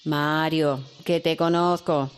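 An actress playing a caring, worried mother who speaks gently and soothingly to her son. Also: low voice, steady tone, slow, smooth, faint music behind. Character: caring worried mother, middle-aged woman. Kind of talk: radio drama acting.

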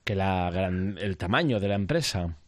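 A man's voice: deep voice, lively intonation, moderate pace, no room sound, studio quality. A man interviewing a guest on radio, asking short questions and giving frequent brief acknowledgements. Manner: brief prompts, frequent backchannel.

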